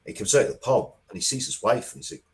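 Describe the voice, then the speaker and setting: A man's voice: mid-range voice, fast, slight background noise. A man speaking as an interviewed writer, rambling conversationally and bluntly through stories about his own work.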